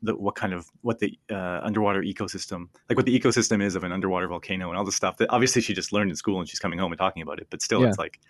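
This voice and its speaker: A man's voice: low voice, very fast, few pauses. A man in his thirties chatting casually as a podcast co-host, rambling and laughing easily. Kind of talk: casual chat.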